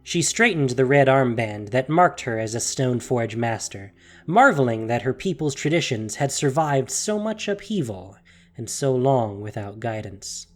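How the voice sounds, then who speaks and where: A man reading scripted fantasy narration in a measured, solemn, dramatic way. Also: medium pitch, expressive.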